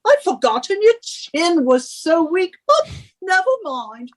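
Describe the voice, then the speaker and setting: A woman's voice: very high-pitched, very animated, measured pace, heavily acted. An actress playing a domineering, henpecking wife who scolds and belittles her husband in a radio suspense drama. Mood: scornful.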